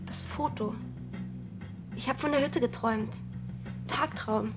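A woman's voice: a little high, unhurried, music in the background, close to an everyday voice. A young actress playing a restless, brooding village girl in a radio drama, speaking in intense, fragmented phrases. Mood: dreamy, haunted.

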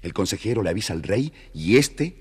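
Deep, raspy male fairy-tale narrator. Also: lively intonation, fairly quick. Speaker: storyteller, narrator.

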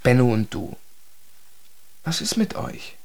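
Terse, reserved adult son, a doctor. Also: male voice, medium pitch, sing-song, takes it slowly, a little mellow. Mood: probing. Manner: terse, matter-of-fact. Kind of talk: naturalistic radio drama acting.

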